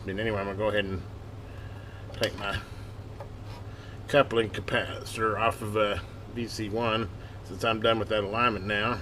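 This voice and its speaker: A man's voice: fairly low pitch, little pitch movement, moderate pace, long pauses, sharp tone. Casual man demonstrating over background noise. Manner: informal, explains step by step while working. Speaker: host of a hobby electronics repair channel.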